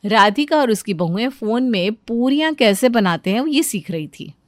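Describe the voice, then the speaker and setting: A woman's voice: on the high side, lively intonation, normal speed, no echo, studio quality. A woman narrating a scripted Hindi cartoon story.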